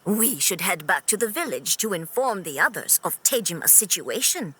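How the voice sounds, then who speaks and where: A woman's voice: mid-range voice, big pitch swings, normal speed, sharp tone, breathy edge, full character voice. An actress playing an anxious, caring village woman in a video game, earnest and polite. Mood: helpful. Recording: music under the voice.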